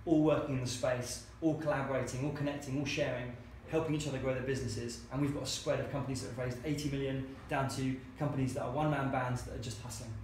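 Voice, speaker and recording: A man's voice: mid-range voice, fairly even intonation, quick pace, hardly any pauses, a little mellow. A man, founder of a co-working space, telling stories in an interview in a chatty way and acting out the lines of the people he quotes. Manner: chatty, acts out quoted dialogue. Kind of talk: anecdotal storytelling interview. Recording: very echoey room with faint hiss.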